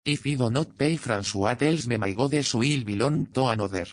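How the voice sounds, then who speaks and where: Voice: mid-range voice, moderate pace, no room sound, voice pushed down, natural voice. A narrator voicing a wealthy, boisterous Spanish colonial nobleman, effusive and self-satisfied, in an audiobook. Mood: eager, boastful.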